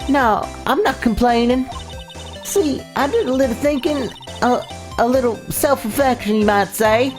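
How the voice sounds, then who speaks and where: A woman voicing a brash, folksy, scheming Southern photographer with a Southern US accent and a rambling, halting delivery in a voiced visual novel playthrough. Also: a little high, lightly acted. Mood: sheepish. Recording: faint game music behind. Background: video game music.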